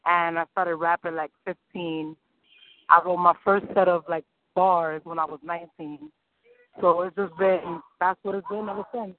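Upbeat New woman, tinny phone sound. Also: lowish voice. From New York City. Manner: upbeat, eager, quick to laugh. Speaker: singer and rapper guest. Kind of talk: casual interview answers.

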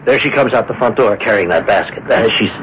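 An actor playing a gruff, skeptical police inspector who grumbles and hesitates in a radio mystery drama. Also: male voice, fairly low pitch, fairly quick, noisy background, natural voice. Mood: suspicious, businesslike. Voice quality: gravelly, husky. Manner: grumbling, hesitant with many uhs. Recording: dull lo-fi old radio recording.

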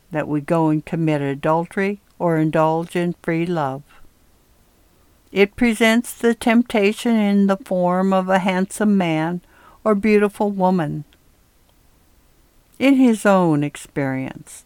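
A woman reading a religious essay aloud in a measured, deliberate way. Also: lowish voice, dry recording. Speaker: reader of a religious article.

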